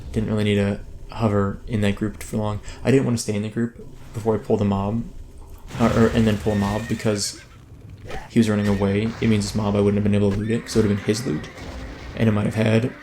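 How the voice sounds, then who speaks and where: A man's voice: lowish voice, fairly even intonation, fast, a little mellow, slight background noise. Man rambling through gameplay commentary. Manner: rambling, self-interrupting, chatty. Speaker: let's play host.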